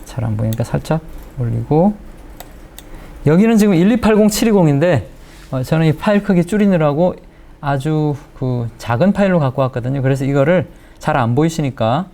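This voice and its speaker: A man, giving a step-by-step software tutorial and repeating phrases as he explains. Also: on the high side, lively intonation, brisk, gravelly. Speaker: video editing instructor. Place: indoor lecture room in front of a large screen. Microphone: lapel mic.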